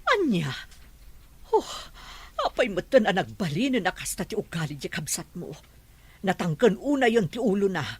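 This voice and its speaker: A woman's voice: medium pitch, very animated, normal speed, darkish tone, slightly rough. A woman playing a worried, easily alarmed mother in a drama, with a Filipino accent. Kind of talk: Ilocano radio drama acting.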